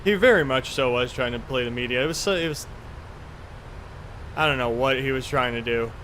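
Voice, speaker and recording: A man's voice: medium pitch, fairly quick, fairly bright tone, slight background noise. A man live streaming a flight simulator in casual chat, rambling in an offhand, mildly profane way.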